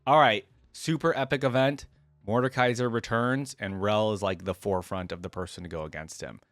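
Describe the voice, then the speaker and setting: A man with a fairly low voice talks very quickly in a close, dry recording. He hosts a reaction video, moving between reading text aloud and casual, conversational commentary of his own. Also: fairly even intonation, fairly bright tone, no echo, soft background music. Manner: informal, conversational asides.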